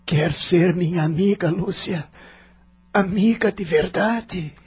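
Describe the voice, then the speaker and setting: A Brazilian actor playing a humbled, repentant man in the afterlife in a radio soap, speaking with earnest, deliberate emotion. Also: high-pitched, husky, heavily acted. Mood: tender, hopeful.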